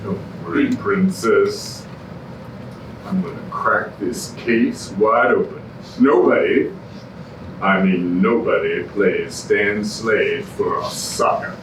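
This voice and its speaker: An actor playing a wisecracking, flirtatious film noir detective full of hard-boiled slang, in a staged musical comedy reading. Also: male voice, on the low side, fairly even intonation, slow. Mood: tough, cocky. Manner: slangy hard-boiled patter with purple similes. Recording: roomy, distant, noisy live stage recording.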